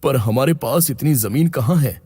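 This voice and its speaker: A man voicing a humble, hardworking village vegetable seller who speaks gently and earnestly, with music behind. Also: medium pitch, natural voice. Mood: dejected. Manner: gentle, earnest; drawn-out hawking calls. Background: background music.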